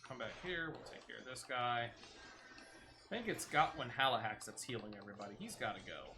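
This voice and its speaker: A man streams a game with casual commentary in a mid-pitched voice that moves around in a lively way. He mutters his play-by-play as if to himself, over clear background noise. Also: moderate pace. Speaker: gaming streamer.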